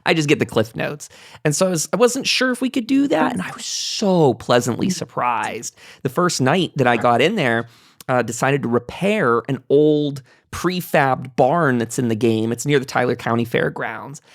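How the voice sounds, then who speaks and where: Podcast host for a casual game roundtable, gravelly fry, effusive and emphatic delivery. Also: medium pitch, expressive, normal speed. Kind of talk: casual enthusiastic panel discussion about a video game.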